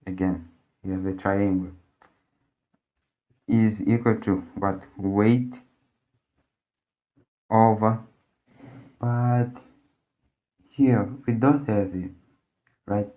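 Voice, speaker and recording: A man's voice: fairly low pitch, steady tone, drawn-out words, pauses often. A man whose first language is Portuguese, working through a physics problem step by step as a tutor, thinking aloud with many restarts and fillers. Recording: dull lo-fi audio.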